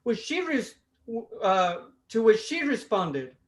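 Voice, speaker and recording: A man from Costa Rica with a Costa Rican Spanish accent, doing a stand-up comedy set over a video call, with a deliberate delivery that stops and restarts. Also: high-pitched, very animated. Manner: deliberate, with restarts and self-amused laughs. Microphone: video call mic.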